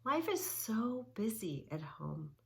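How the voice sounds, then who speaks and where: A woman's voice: fairly high pitch, big pitch swings, slow pace, creaky, fairly bright tone, husky, full character voice. A woman voicing a curious young girl on a mountain hike, asking eager questions. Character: young girl hiking with her aunt, curious.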